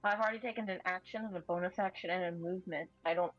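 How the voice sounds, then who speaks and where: A woman's voice: fairly low pitch, fairly even intonation, fast talker, no echo. A woman playing a tabletop roleplaying game, chatting casually in a hesitant, questioning way. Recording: dull, dry audio with faint music. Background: faint music.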